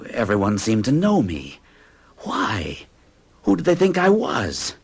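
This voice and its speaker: An actor playing an anxious traveler who recounts strange events to a doctor in a radio drama, with a husky, agitated delivery. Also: male voice, fairly low pitch, wildly swooping pitch, slow, heavily acted. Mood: uneasy. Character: anxious American traveler narrating his ordeal. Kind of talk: 1970s radio drama acting.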